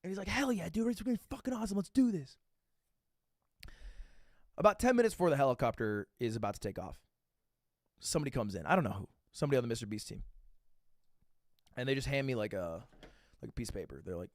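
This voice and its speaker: A man in his twenties, a streamer reacting to a video, telling a story with excitable, profane energy and acting out what others said. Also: medium pitch, fast, pauses often, no room sound. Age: 20s. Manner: excitable, profane, acts out quotes.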